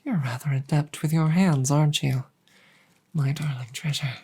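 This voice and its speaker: A woman playing a proud but bashful dragon girlfriend in an intimate audio roleplay, with formal wording, a breathy voice and halting, sighing delivery. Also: deep voice, fairly even intonation, exaggerated voice. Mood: shy, pleased. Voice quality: husky, breathy. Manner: formal wording, hesitant, halting, soft sighs.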